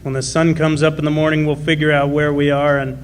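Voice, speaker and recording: A man's voice: a little high, flat delivery, a little fast, natural voice. Man playing a steadfast miner father, noisy recording. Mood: resolute. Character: protective, steadfast striking miner and worried father. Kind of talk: live radio drama acting. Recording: noisy live stage radio play recording.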